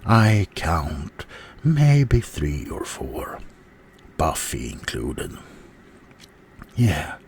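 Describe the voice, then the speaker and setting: A man performs a wounded, self-pitying werewolf in an ASMR mock interview. The voice sits very low and moves slowly, halting through grievances and often sinking into a whisper. A faint noise sits behind it. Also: heavily acted. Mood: indignant, hurt. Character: offended, self-pitying male werewolf named Fred.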